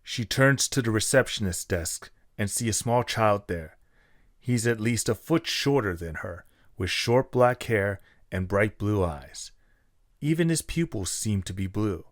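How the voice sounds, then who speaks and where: Low-voiced man narrating a story. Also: lively intonation, measured pace, no echo, studio quality.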